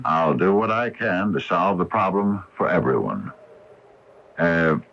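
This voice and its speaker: An older scientist turned storekeeper in a vintage radio mystery. His voice is very low and husky, swinging widely as he haltingly explains himself. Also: expressive, a bit bright, heavily acted. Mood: hesitant explaining. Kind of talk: 1980 radio drama acting. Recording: dull lo-fi vintage radio broadcast.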